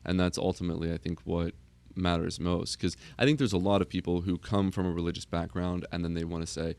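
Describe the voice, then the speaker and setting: A man in casual podcast conversation, earnest and reassuring, often halting as he thinks aloud. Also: deep voice, fairly even intonation, a little mellow.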